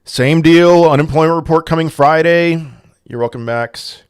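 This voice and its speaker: A man's voice: on the high side, moderate pace, clear, resonant tone. A man hosting a casual crypto livestream, reading chat questions aloud and answering them in a rambling way with frequent restarts. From Portugal. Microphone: desk microphone.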